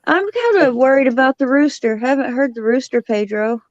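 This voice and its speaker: High-voiced playful woman, dry sound. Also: fairly quick, no room sound, lo-fi sound. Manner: playful, teasing. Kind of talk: casual group chat.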